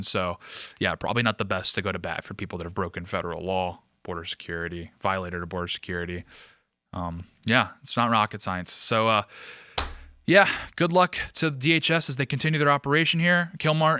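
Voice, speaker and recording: A man's voice: lowish voice, bright, forceful tone, no room sound, studio quality. A man hosting a political commentary show, talking casually and off the cuff in a sarcastic, mocking way.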